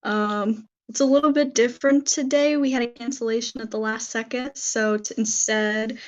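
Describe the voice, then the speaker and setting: A young woman hosts a casual online interview. Her voice is soft and a little hoarse, and she hesitates with plenty of ums and uhs between questions. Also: on the high side, fairly even intonation, moderate pace, muffled recording. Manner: hesitant, many fillers. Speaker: interview host.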